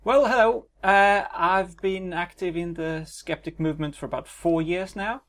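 Swedish man, podcast co-host, gravelly fry, slightly higher voice, friendly. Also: normal speed, fairly bright tone. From Sweden. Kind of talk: casual podcast chat.

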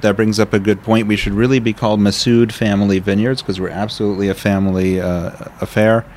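A middle-aged man with a smooth radio voice, a winery owner telling his family's story in a measured way, with fillers. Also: lowish voice, darkish tone, a little hiss or hum. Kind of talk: podcast interview. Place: vineyard tasting area.

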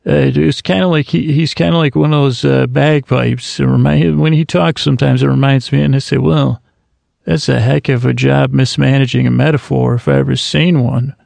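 A man hosting a sleep podcast, rambling in a meandering, soft-spoken bedtime monologue that keeps interrupting itself. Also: mid-range voice, steady tone, normal speed, vocal fry, dry recording.

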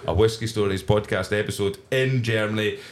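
A man's voice: on the low side, fairly quick. A man co-hosting a podcast, chatting enthusiastically with frequent restarts. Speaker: podcast co-host. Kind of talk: casual chat.

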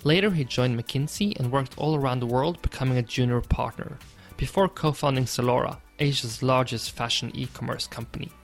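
Male host with a slightly gravelly voice, doing an upbeat scripted intro over faint music. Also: mid-range voice, a little fast. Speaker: podcast host. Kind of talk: podcast intro and interviewing.

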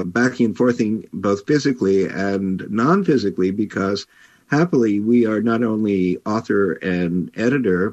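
A man with a fairly low voice in a dry, close recording. He talks like an editor presenting a book, choosing his words with care and pausing as he goes. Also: darkish tone, no echo, lo-fi sound. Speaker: publisher and editor hosting a book talk. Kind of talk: conversational book talk.

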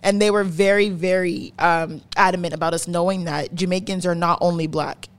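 A woman's voice: fairly low pitch, fairly even intonation, moderate pace. Emphatic young woman chatting casually. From Canada. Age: 20s. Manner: emphatic, repeats words for stress, swears freely. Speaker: podcast host. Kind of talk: casual chat, opinionated explaining.

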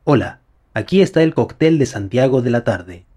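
A man's voice: medium pitch, animated, moderate pace, dark tone, soft background music, lightly acted. A man playing a polite, literal-minded smart-home assistant in an audio drama, speaking in formal, procedural announcements. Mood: neutral, procedural.

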